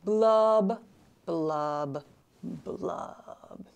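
A woman's voice: lowish voice, very slow, gravelly, a little mellow, full character voice. A woman voicing a glum, gloomy male fish in a children's storybook reading, chanting in a singsong rhyme.

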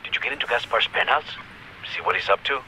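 An actor playing a male gang associate speaking over the phone in a calm, hesitant way. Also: mid-range voice, fast talker, background noise, lightly acted.

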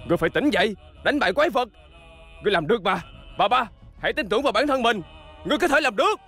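A pompous male superhero for a cartoon dub. He declaims grandly in a bright, forceful voice with some gravel in it. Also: high-pitched, animated, racing, soft background music, heavily acted. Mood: melodramatic. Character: pompous, melodramatic male superhero fighting evil.

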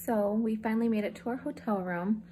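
A woman's voice: a little high, a little fast. A woman in her thirties chatting cheerfully as she films her road trip on a phone held at arm's length, describing the sights. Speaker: travel vlogger. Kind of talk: casual travel vlog narration. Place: in a car, a hotel room and outdoors in snow. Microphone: phone camera held at arm's length. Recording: road and wind noise behind a phone recording. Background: car and outdoor ambience.

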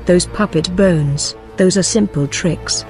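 A woman's voice: fairly low pitch, takes it slowly. A woman voicing a knowing, unruffled guardian of a pirate cove in a motion comic, with an admonishing manner. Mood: ominous, admonishing. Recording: music clearly under the voice.

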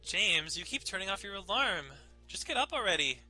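A bossy, nagging sister from a visual novel, acted by a man. The pitch is pushed well up and the tone is impatient and piercingly bright. There is light music behind. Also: very animated, moderate pace, full character voice, low-quality recording. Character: bossy young sister nagging her brother.

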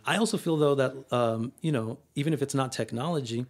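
Energetic man in his late thirties. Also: medium pitch, a little fast. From El Paso, Texas, US. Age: 30s. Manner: energetic, filler-heavy, keeps adding "right?". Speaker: poet, interview guest. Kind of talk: casual interview conversation.

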